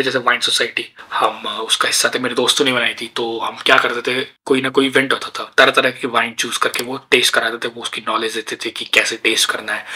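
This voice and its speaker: A young man from India telling stories about his student days abroad in a chatty, opinionated way, with many filler pauses. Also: mid-range voice, sharp tone, husky. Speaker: podcast host. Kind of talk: casual storytelling monologue.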